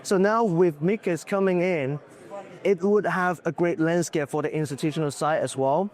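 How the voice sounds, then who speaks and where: A man, a business executive, answering questions in a conference booth interview, slightly hoarse from losing his voice, talking candidly with lots of fillers. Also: on the high side, normal speed. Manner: candid, loose, full of "uh" and "like".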